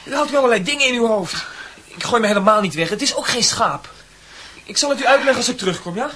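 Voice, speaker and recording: An actor playing an earnest, hesitant young man in a Dutch radio play, with a husky voice. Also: very high voice, lively intonation, fast talker, sharp tone, full character voice. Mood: nervous, defensive.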